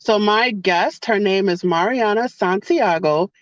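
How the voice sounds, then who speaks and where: A woman's voice: mid-range voice, a little slow, dry recording, highs cut off. A woman hosting a podcast from her car, chatting casually and repeating her phrases. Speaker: podcast host. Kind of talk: casual podcast intro and interview.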